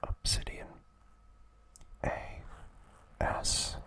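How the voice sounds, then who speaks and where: A young man hosting ASMR in a breathy, unvoiced whisper, halting and hushed, close to the mic. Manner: slow, hushed, halting with long pauses. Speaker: host of an ASMR channel. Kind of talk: ASMR, whispered informal trivia talk.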